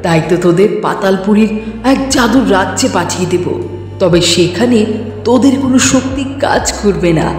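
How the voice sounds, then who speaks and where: A woman playing a cruel, power-hungry witch in a fairy-tale cartoon, menacing and taunting with drawn-out words. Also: mid-range voice, lively intonation, a little mellow, lightly acted. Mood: mocking, threatening. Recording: echoey hall reverb, faint music behind.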